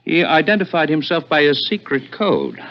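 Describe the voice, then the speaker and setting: A skinny, jittery attorney pleading his case in an old radio thriller. His delivery is precise but hesitant, with lively pitch, on a muffled recording. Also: moderate pace. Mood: anxious, insistent. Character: tall, thin, nervous, earnest male attorney client. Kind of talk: 1960s radio mystery acting.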